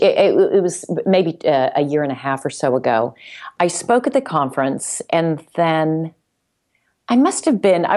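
A woman chatting casually as a podcast guest, haltingly, often repeating words as she thinks. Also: low-pitched, darkish tone. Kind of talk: casual conversation.

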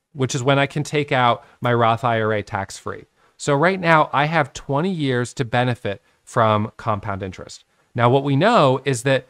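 A man in his late thirties giving a casual whiteboard lesson on trading from his home office, talking with his hands into a boom-arm studio mic. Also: clean tone, no room sound. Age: 30s. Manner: animated, talks with his hands, drawing on a whiteboard. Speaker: trading educator. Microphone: studio microphone on a boom arm.

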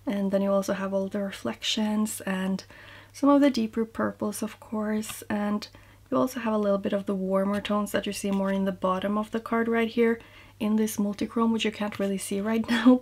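A woman's voice: mid-range voice, fairly even intonation, moderate pace. Young woman, makeup vlogger at home, friendly and upbeat, mid-range voice. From Norway. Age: young adult. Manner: chatty and upbeat, drops to soft near-whisper at times. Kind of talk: beauty vlog, talking to camera. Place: small room indoors, home. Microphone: seated at desk facing camera.

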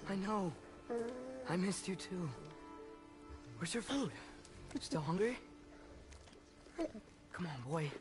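A gentle teenage boy in a game cutscene, soft and earnest. His pitch sits a little high and moves freely. A loud musical score plays under him. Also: expressive, takes it slowly, pauses often, music in the background. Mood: tender. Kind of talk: video game cutscene acting.

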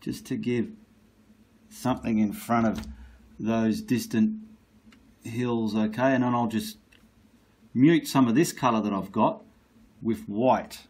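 A man's voice: medium pitch, fairly even intonation, slow pace, long pauses, a little mellow, slightly rough. A man giving a casual, blunt painting tutorial while he works at an easel, with the odd mild swear.

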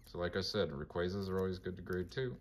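A man with a monotone voice casually talking through his card collection, mumbling names as he flips through binders. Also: low-pitched, fast. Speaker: collector vlogger.

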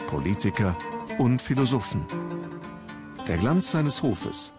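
A man's voice: mid-range voice, big pitch swings, slow, over music. A man reading scripted documentary narration as the narrator of a history programme.

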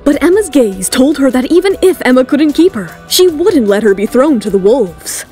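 A woman's voice: sits high, sing-song, normal speed, dark tone. A woman reading scripted, dramatic third-person narration for an audio drama. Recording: music bed under the voice. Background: background music.

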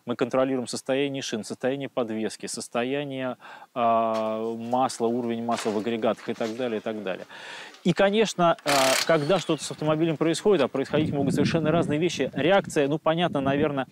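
A man in his forties, a test expert explaining on camera with careful, pausing phrasing, recorded outdoors on a lapel mic. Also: mid-range voice, background noise. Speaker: car endurance test expert. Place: outdoors on an open tarmac test track.

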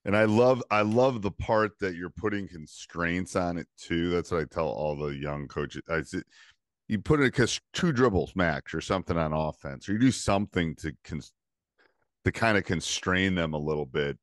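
Low-voiced enthusiastic podcast host. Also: male voice, animated, normal speed, no room sound, clean studio sound. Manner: enthusiastic, affirming interjections. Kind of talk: casual coaching interview. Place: home studio with bookshelves. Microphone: studio microphone with headphones.

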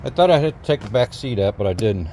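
A man's voice: fairly low pitch, lively intonation, fast talker, warm tone. A middle-aged man giving a casual, hands-on how-to in a folksy, hesitant, unscripted way. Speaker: DIY video maker. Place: outdoors at an open truck cab. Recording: clear outdoor ambient noise.

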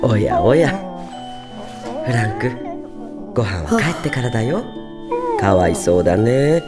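Kindly older housekeeper, very low voice, swooping intonation, motherly, anime, loud music behind. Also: big pitch swings, measured pace, exaggerated voice. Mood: sighing, pitying. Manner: motherly, coaxing, sighing. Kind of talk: anime voice acting.

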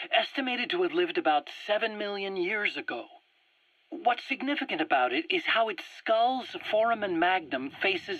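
Anxious, proud game scientist: animated, husky delivery, defensive explanations, muffled dry recording. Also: male voice, high-pitched, no room sound. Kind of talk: video game voice acting, interrogation dialogue. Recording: dull, dry radio-like cassette recording.

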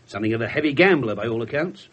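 Blunt English detective with lively delivery. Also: male voice, mid-range voice, racing, husky, highs cut off. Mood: wry, conversational. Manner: blunt, colloquial. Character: wry, blunt, untidy English police detective inspector. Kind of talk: 1970s British radio drama acting.

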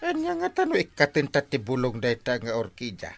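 A man's voice: medium pitch, huge pitch swings, a little fast, vocal fry, no echo, faint music behind. A man playing a cantankerous, stingy village captain and husband in an Ilocano comedy radio drama, blustering and contrary. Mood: grumbling, blustering.

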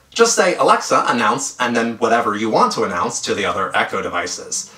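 A man in his thirties hosting a tech tutorial, speaking upbeat and clear straight to the camera in a small room at home. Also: medium pitch, sharp tone. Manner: upbeat, clear presenter delivery. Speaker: tech channel host. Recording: roomy echo.

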